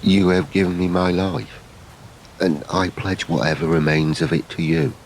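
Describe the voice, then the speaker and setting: An actor playing a humble, awestruck pardoned rebel in a fantasy audio drama, speaking haltingly and with reverence. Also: male voice, low voice, very animated, creaky, slight background noise, full character voice, rough recording. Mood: tearful devotion.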